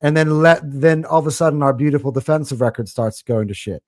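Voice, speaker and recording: A man's voice: on the high side, fast talker, darkish tone, no echo, studio quality. A man with an American accent co-hosting a casual sports podcast, talking animatedly and rambling with many fillers. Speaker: podcast co-host.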